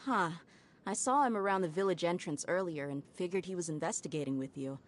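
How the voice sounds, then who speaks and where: A woman as a low-voiced, breezy desert mercenary. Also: a little fast, slight background noise, natural voice. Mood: casual, thoughtful. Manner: breezy, self-assured, colloquial. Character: confident, breezy young female desert mercenary. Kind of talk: video game voice acting.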